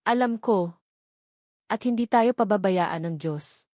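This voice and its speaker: A woman with a Filipino accent voicing a gentle, supportive, devoted wife in an audio drama, speaking tenderly. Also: mid-range voice, slow, no room sound, clean studio sound, natural voice. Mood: tender, reassuring.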